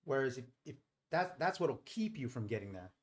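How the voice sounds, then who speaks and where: A man's voice: medium pitch, sing-song, a little fast. A middle-aged man hosting a live stream, talking casually and explaining things in a rambling, informal way. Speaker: live stream host and trader.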